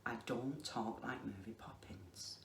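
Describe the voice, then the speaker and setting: A middle-aged woman with a Lancashire accent, chatting casually to camera in a slow, deliberate way with many ums. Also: very deep voice. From England. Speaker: craft vlogger. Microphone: facing the camera. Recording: echoey room with faint noise.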